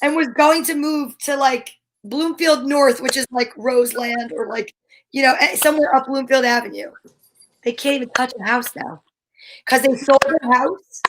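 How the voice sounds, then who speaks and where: A woman's voice: sits high, steady tone, moderate pace, background noise. A middle-aged American woman chatting casually as a talk show co-host, blunt and emphatic in her opinions. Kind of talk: casual chat.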